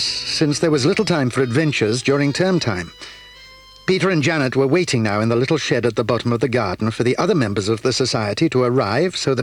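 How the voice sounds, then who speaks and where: A man narrating a children's storybook drama in a calm, measured way. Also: mid-range voice.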